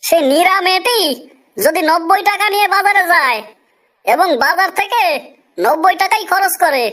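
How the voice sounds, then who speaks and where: A woman's voice: very high voice, moderate pace, fairly bright tone. A woman voicing a polite, confident cartoon job candidate, explaining answers patiently and deferentially. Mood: polite, assured. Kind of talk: comic cartoon dialogue.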